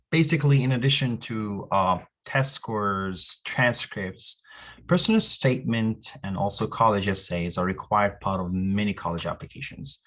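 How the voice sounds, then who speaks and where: A man, speaking in a webinar over a video call. He is earnest and encouraging, often pausing on "uh" and restarting phrases as he works through his points. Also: fairly low pitch, a bit bright. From Morocco. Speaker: university admissions representative. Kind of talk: webinar presentation.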